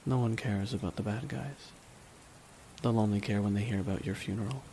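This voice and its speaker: A man playing a bitter, weary supervillain in a dramatic ASMR audio roleplay, speaking deliberately with long pauses to a dying nemesis. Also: lowish voice, lightly acted, poor audio. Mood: scornful. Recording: steady rain noise behind the voice. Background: rain falling on surfaces.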